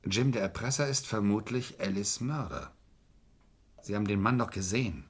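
Husky, low-voiced, shrewd persuader. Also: male voice, normal speed. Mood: probing. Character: shrewd, persuasive male defender, calculating and courteous. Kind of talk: German crime radio play acting. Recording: vintage radio play recording.

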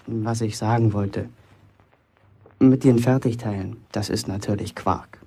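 A young village apprentice bricklayer in a radio play, eager to impress. His voice is gravelly and mellow, with a husky edge. He talks colloquially and boastfully, as if confiding his own importance. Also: lowish voice, fairly even intonation, unhurried, exaggerated voice. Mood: self-important. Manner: boastful, chatty inner monologue, colloquial. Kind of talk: German radio play acting, first-person monologue.

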